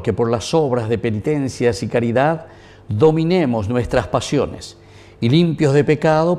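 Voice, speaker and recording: A middle-aged man from Paraguay, a priest saying the prayers of Mass in a measured, solemn way in a small chapel. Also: mid-range voice, mellow.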